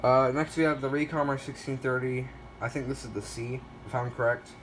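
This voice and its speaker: A man's voice: medium pitch, steady tone, brisk, a little hiss or hum, poor audio. A man giving a casual show-and-tell narration in a hesitant, rambling way, often correcting himself. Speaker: video creator showing a weather radio collection.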